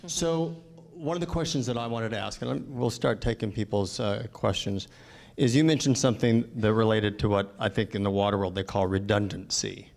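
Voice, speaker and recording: Male moderator, gravelly voice, friendly and halting. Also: on the low side, steady tone, a little mellow. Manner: friendly, halting, restarts often. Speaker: forum moderator. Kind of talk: moderating a public forum.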